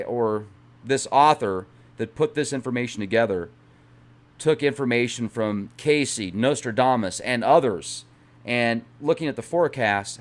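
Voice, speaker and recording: A man reads a book of catastrophes aloud with dramatic emphasis, his voice pitched in the middle range. He often breaks off for relaxed, chatty asides and stumbles over words before correcting himself. His delivery mixes theatrical reading with informal comments.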